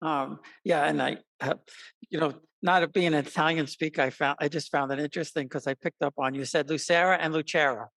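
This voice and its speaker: A man hosting a podcast interview, easygoing and a little hesitant, with quick laughs. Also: fairly high pitch, steady tone, vocal fry, fairly bright tone, dry recording. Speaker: podcast host. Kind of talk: casual interview chat.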